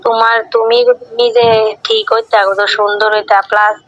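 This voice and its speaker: A woman's voice: a little high, flat delivery, moderate pace, fairly bright tone. A woman with an eastern Bengali dialect complaining in a casual domestic argument on a recorded call, repeating phrases dismissively. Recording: phone call recording with faint noise.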